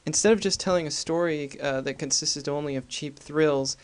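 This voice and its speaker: A man's voice: a little high, normal speed. A man hosting a podcast, introducing things casually in an informal, jokey, self-deprecating way. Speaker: podcast host.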